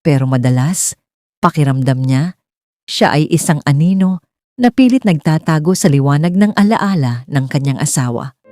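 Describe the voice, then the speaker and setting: A woman reads a dramatic story as narrator. Her voice is lowish and mellow, with very varied intonation. The recording is dry and close. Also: animated, moderate pace, no room sound.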